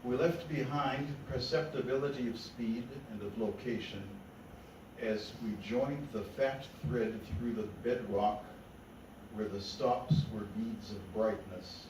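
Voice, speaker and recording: Slow-reading man in a very echoey room. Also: fairly low pitch. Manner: measured, deliberate phrasing. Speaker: poet reading own work. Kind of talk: poetry reading to a live gathering. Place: indoor literary meeting room. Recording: echoey room from far off, noisy.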